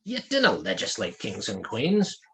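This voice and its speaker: A loyal, stubborn male Scottish weather mage, read by a man in a fantasy audiobook. He speaks earnestly and in few words, with pitch that moves noticeably. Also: huge pitch swings, moderate pace, creaky, a little hiss or hum, heavily acted. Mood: stubborn, devout. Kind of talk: fantasy audiobook character dialogue.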